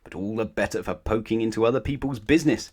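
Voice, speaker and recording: A man's voice: on the low side, quick pace, darkish tone, husky. A man voicing a cheery, meddlesome, long-nosed storybook busybody with a jovial, nosy manner. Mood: jovial, prying.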